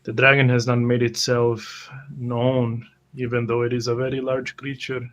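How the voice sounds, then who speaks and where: Man playing a cautious, mid-pitched adventurer. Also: completely flat delivery, moderate pace, close to an everyday voice. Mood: wary.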